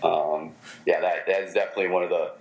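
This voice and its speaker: A man with a Michigan American accent, a former pro baseball player telling stories in a casual interview, halting with many fillers and restarts. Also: low voice, steady tone.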